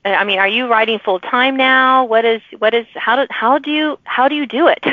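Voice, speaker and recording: A woman's voice: fairly high pitch, a little slow. Woman podcast host, chatty interview style, thin phone-like sound, no echo. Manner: chatty, laughs easily. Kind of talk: conversational author interview. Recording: narrow phone-like sound, dry.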